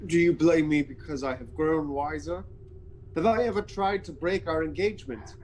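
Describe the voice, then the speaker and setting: A man's voice: on the high side, normal speed, a little mellow, a little hiss or hum, close to an everyday voice, rough recording. Proud, defensive young man, played by an actor. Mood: defensive, hurt. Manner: formal, defensive, earnest. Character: proud, defensive young businessman in his prime. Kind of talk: theatrical audio drama acting.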